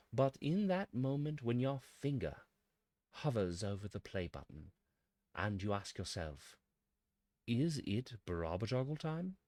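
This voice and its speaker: A man delivering a scripted mock-academic monologue in a pompous, philosophical manner with dramatic pauses. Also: medium pitch, darkish tone, dry recording. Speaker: presenter of a mock-scholarly essay.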